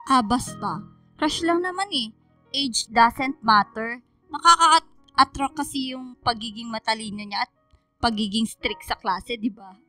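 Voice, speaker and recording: A headstrong Filipino teenage daughter, acted by a woman, in a radio drama. She is whiny and quick to argue, with a high, bright voice over quiet music. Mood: pouty. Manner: whiny, argumentative. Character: headstrong, pouty teenage daughter, fifteen to eighteen. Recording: music bed underneath.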